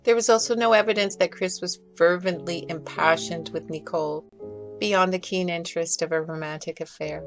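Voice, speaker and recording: A woman reading a scripted, critical commentary as a voice-over. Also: on the low side. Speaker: video commentator. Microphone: voice-over recorded in separate snippets.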